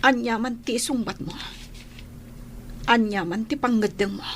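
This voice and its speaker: A betrayed young wife in a radio drama, her high voice full of reproach and pleading. There is faint noise behind her. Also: a little slow. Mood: confronting.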